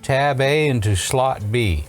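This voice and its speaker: A man's voice: medium pitch, animated, takes it slowly, faint music behind. A man chatting casually as a repair technician, thinking aloud, asking questions and teasing dryly while he works. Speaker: vintage audio repair technician. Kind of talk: casual hands-on repair chat, bantering.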